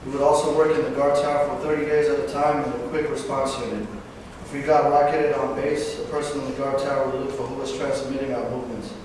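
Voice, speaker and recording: A man in his twenties from Northern California, a veteran giving a personal talk, halting at times with fillers and self-corrections. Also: mid-range voice, level tone. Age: 20s. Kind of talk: personal speech read to an audience. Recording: distant, echoey hall recording with background noise.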